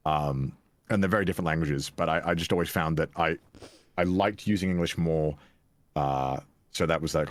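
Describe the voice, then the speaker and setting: A young Welsh man being interviewed, telling stories from his past in a loose, self-deprecating way. His voice sits very low, and the recording is close and dry with no room sound. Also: no echo. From Wales. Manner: chatty, self-deprecating, frequent restarts and laughs. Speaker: guest. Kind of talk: casual interview chat.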